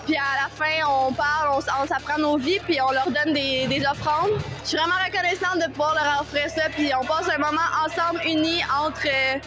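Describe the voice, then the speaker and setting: A Québécois woman with a high, light voice talks earnestly about her team, pausing on fillers as she goes. Music plays clearly behind her. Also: steady tone. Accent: Québécois French. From Quebec, Canada. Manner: earnest, with hesitant fillers and restarts. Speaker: college basketball player. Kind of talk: casual interview testimonial.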